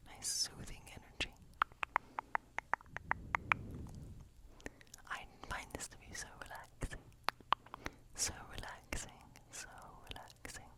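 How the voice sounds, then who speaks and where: A woman voicing a playful, boastful woodland witch in a breathy, intimate whisper for an ASMR fantasy role play. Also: lightly acted. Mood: soothing. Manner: intimate, chatty, teasing, repeats words softly. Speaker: ASMR performer.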